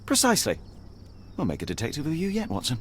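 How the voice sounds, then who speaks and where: A man playing a composed, sharp-minded detective in a video game, speaking with precise, measured, analytical authority. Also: mid-range voice, huge pitch swings, a little hiss or hum. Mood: analytical, intent. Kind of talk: dramatic detective game dialogue and deduction narration.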